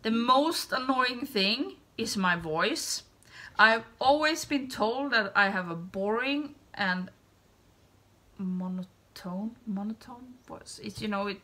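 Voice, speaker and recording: A woman with a Swedish accent, Swedish being her first language, talking casually to camera. Her light, bright voice moves at an unhurried pace. She rambles and hesitates, often trailing off into a soft hush. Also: medium pitch. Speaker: beauty YouTuber. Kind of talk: casual tag video chat.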